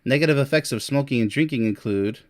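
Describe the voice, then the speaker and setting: A man's voice: mid-range voice, fast. A man in his early forties giving a blunt, boastful monologue with sarcastic asides and short laughs, reading points off a list. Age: 40s. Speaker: relationship coach and vlogger. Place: Europe.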